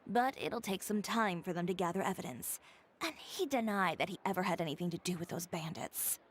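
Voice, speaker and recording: An actress playing a confident, blunt, haughty young fashion designer in a video game, speaking with cool, dry self-assurance. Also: female voice, on the low side, animated, fairly quick. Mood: firm, defiant.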